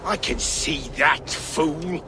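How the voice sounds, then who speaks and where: Slow, sneering lord. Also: male voice, fairly high pitch, noisy background. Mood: contemptuous. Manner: sneering, imperious. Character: haughty, contemptuous English lord, male. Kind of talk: video game dramatic acting. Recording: outdoor game ambience behind voice.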